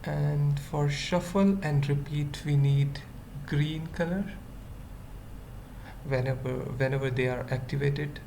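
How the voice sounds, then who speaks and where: Slow, very creaky voice, coding tutorial, noisy. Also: medium pitch, fairly even intonation, warm tone. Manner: thinks aloud in short, halting fragments. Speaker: web development tutorial presenter. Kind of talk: unscripted screencast coding tutorial. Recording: noisy mic, keyboard typing. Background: computer keyboard typing.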